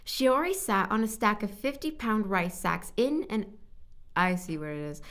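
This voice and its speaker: A woman recording scripted story narration as a voice actor, halting at times and repeating lines. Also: medium pitch, expressive, fairly bright tone. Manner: halting, stumbling over words and repeating takes.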